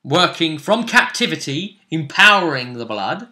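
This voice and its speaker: A man with a bright, forward voice acts as game master at a tabletop session. He narrates the story casually, pausing to find words and repeating phrases for emphasis. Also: on the high side, very animated, slight background noise.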